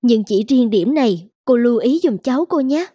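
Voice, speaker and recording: A woman's voice: on the high side, expressive, normal speed, mellow, breathy edge, dry recording, studio quality. A woman playing a firm, polite young mother in a scripted cartoon, reasoning earnestly.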